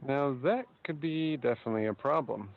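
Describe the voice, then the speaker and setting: A man working as a customer support agent, talking calmly and methodically with some hesitation on a phone call. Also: medium pitch, brisk, creaky. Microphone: telephone line. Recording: muffled lo-fi phone call audio.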